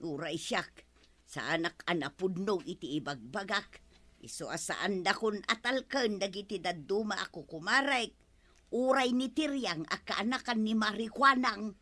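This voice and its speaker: A woman's voice: medium pitch, normal speed, sharp tone. A woman with an Ilocano accent playing a nagging village wife in a radio comedy drama, scolding and questioning. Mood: aggrieved.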